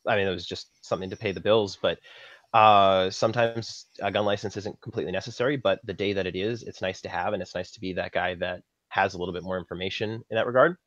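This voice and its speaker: Low-voiced Canadian man, dull roomy audio. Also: fairly even intonation, fast talker, a bit bright. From Saskatchewan, Canada. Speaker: guest, film props master. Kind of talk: casual podcast interview, recounting career.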